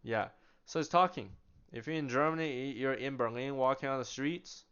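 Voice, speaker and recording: A man's voice: mid-range voice, moderate pace, a bit bright, clear, resonant tone. A man casually demonstrating walkie-talkies, a chatty talker who asks rhetorical questions and answers them himself. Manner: chatty, with small laughs and restarts. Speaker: product demonstrator. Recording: slightly dull lo-fi sound.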